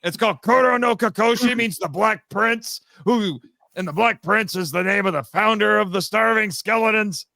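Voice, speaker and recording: High, bright-voiced man with teasing banter. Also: moderate pace, vocal fry, dry recording, studio quality. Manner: teasing, mock-condescending. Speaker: podcast guest, fan audio drama writer and voice actor. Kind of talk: casual banter explaining trivia.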